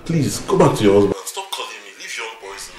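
An actor playing a callous young lover, dismissive and exasperated, in a film melodrama. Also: male voice, fairly low pitch, sing-song, a little fast, a crowd in the background. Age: young adult. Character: callous young kept lover, brushing off older woman. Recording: noisy, echoey location sound.

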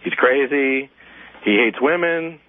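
A man's voice: medium pitch, slow pace, dry recording. A man phoning a radio show, speaking in an earnest, effusive, personal way. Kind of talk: personal phone call. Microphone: telephone. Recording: thin tinny phone line.

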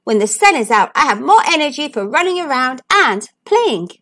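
A curious young boy from a children's storybook, voiced by a woman. He is high and very animated, excitable and full of wonder. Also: normal speed. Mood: amazed. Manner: wondering, exclaiming. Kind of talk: children's storybook read-aloud.

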